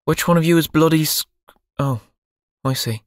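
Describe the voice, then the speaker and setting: Stern young pirate captain for an audiobook, higher voice, cold and clipped, voiced by a male narrator. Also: normal speed, dry recording, studio quality, lightly acted. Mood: brisk, commanding. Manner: clipped, curt commands.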